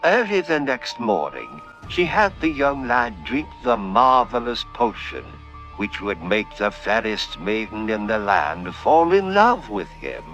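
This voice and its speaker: Horror-comedy radio lord: courtly, droll, unhurried, hugely expressive melody, faint music underneath. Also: male voice, on the low side, muffled recording. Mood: ominous narrating. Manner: courtly, theatrical. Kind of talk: horror-comedy radio play acting and narration.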